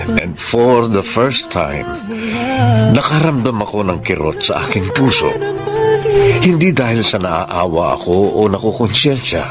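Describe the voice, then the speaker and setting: Slow, solemn, regretful husband. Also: male voice, on the low side, big pitch swings. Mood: pensive regret. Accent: Filipino. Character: regretful husband confessing his failed marriage. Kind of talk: Filipino radio drama acting. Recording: loud music bed under the voice.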